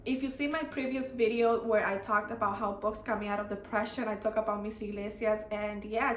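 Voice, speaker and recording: A woman's voice: a little high, roomy sound. A young woman from the Dominican Republic with a Dominican Spanish accent, talking casually to her camera with lots of "like" and "you know" fillers. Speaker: YouTuber.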